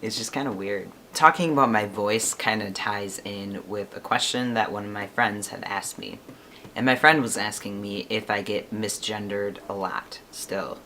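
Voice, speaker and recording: A man's voice: fairly low pitch, fairly even intonation, moderate pace, pushed and bright, a little hiss or hum. A man in his twenties chatting casually to his camera, rambling and laughing often. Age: 20s. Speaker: vlogger.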